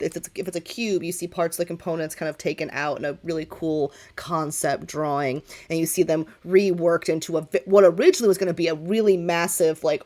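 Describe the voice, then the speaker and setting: A woman's voice: on the low side, a little mellow. A woman runs a tabletop game as game master, improvising as she goes. Her delivery is informal and rambling, and she often backtracks to correct herself mid-thought.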